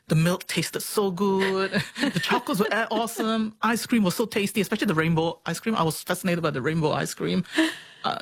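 A woman's voice: deep voice, dry recording. A woman in her forties with a Singaporean accent, talking earnestly and expressively about herself as an interview guest. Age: 40s. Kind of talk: reflective personal interview.

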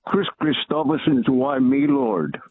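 A man's voice: mid-range voice, a little slow, slightly rough, dry recording. A man with a Northern Irish accent calling in to a radio show, chatting easily and telling a story. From Northern Ireland. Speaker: caller. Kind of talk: casual phone-in chat. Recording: tinny phone line.

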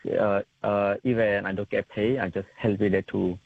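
A man's voice: fairly low pitch, level tone, gravelly, breathy edge, no room sound. Hesitant young man on a tinny phone line. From Thailand. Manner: halting, many fillers and restarts, simple non-native English. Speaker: community interpreter, guest. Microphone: telephone.

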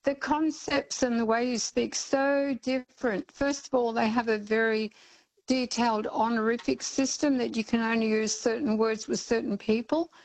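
A woman's voice: fairly high pitch, steady tone, moderate pace, low-quality recording. An older Australian woman calling in to a radio talk show, speaking earnestly with frequent ums. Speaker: caller. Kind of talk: radio call-in chat.

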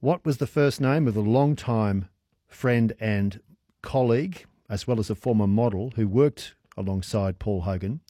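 A man's voice: lowish voice, measured pace, dark tone, no echo. An Australian man hosts a radio quiz with a teasing, jokey manner and frequent laughs. His voice is dry and close-miked, with no room around it. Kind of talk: casual on-air chat with callers.

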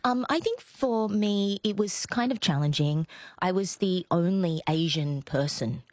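A woman with an Australian accent answering radio interview questions in a reflective, measured way with thoughtful pauses. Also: on the low side, expressive, darkish tone, no room sound, clean studio sound. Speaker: interview guest, playwright and actor. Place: radio studio.

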